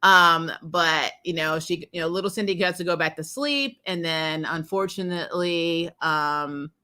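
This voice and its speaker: A woman hosting a casual, unscripted review, retelling scenes in slangy, animated talk and acting out reactions. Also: lowish voice, measured pace, smooth. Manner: animated, exclaiming, acts out reactions, laughs often; informal slangy talk with frequent "like" and "you know". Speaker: host of a TV episode review show.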